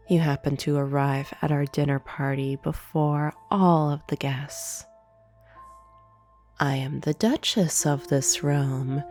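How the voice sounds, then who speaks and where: Demon duchess , voiced by a woman: haughty, sadistic banquet hostess for ASMR roleplay; dark mellow tone, very unhurried, breathy whispers, formal and teasing. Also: sits low, full character voice. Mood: haughty menace. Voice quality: breathy, often dropping into whisper. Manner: slow, formal, imperious, menacing teasing. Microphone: close binaural microphone. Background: soft piano music.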